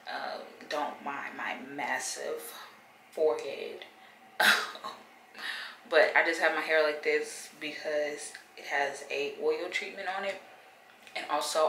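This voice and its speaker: Laid-back woman vlogger, bright voice. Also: sits low, reverberant, slight background noise, poor audio. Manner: relaxed, rambling with many fillers. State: stuffy nose. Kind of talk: casual vlog chat. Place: bedroom at home. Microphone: phone camera at arm's length.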